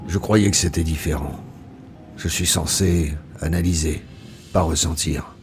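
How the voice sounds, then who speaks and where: A very deep, brooding male narrator, played by a man who was human only for seconds. He speaks in a slow, pensive way over faint music. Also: steady tone, darkish tone, slight background noise. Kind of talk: scripted audio drama narration.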